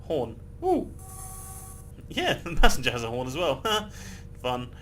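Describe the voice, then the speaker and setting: A man's voice: mid-range voice, wildly swooping pitch, slow pace, fairly bright tone, a little hiss or hum. A man casually chatting and rambling while he plays a game, swearing freely and laughing often. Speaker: gameplay video host. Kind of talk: casual gameplay commentary.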